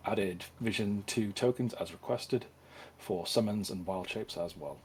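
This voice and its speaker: Low-voiced man running the game. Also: darkish tone, soft background music. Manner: halting, with frequent fillers. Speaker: dungeon master. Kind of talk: casual tabletop game talk.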